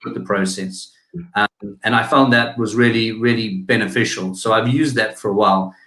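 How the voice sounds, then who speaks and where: A man's voice: fairly low pitch, fairly even intonation, bright, forceful tone, echoey room, poor audio. A man with a South African accent, a business owner talking as a guest in a casual interview, often restarting his sentences. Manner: analytical, halting with many restarts and fillers.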